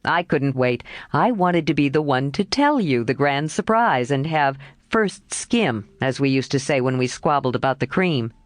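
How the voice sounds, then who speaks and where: A woman reading aloud and voicing a mischievous, boyishly charming young man, lowering her voice in a playful, sheepish way. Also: expressive, normal speed, dry recording, studio quality. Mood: fond.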